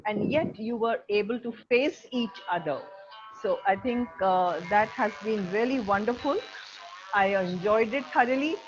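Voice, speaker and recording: A woman's voice: medium pitch, measured pace, over music. A woman with an Indian accent, speaking as a teacher in an encouraging, effusive way to her online class. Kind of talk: casual spoken feedback to students. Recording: muffled video-call audio with faint noise.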